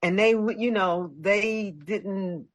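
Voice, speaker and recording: A woman's voice: mid-range voice, takes it slowly. A woman co-hosting a casual podcast chat, retelling conversations emphatically and acting out both sides. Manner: emphatic, acts out reported dialogue. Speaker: podcast co-host.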